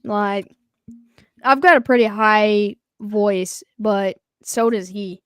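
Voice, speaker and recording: A woman co-hosting a live football stream in casual chat, excitable and quick to react to big plays. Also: mid-range voice, clear, resonant tone, dry recording, studio quality.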